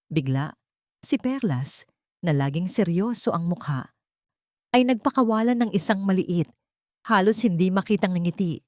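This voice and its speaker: A woman's voice: fairly low pitch, darkish tone, no echo, studio quality. A woman with a Filipino accent reading a scripted dramatic story as an audiobook narrator.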